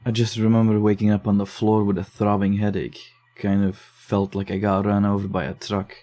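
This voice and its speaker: Guy playing jumpy young dude in a horror audio drama, low mellow voice, kinda defensive. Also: level tone, natural voice. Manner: defensive, uneasy, hurried. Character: shaken young man investigating case files. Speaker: narrator and lead character.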